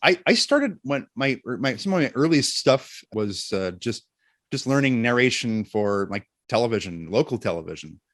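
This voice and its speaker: A man's voice: medium pitch, lively intonation, moderate pace, a bit bright, no room sound, clean studio sound. A man talking conversationally as an interview guest, explaining his voice work thoughtfully. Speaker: voice actor and narrator, interview guest.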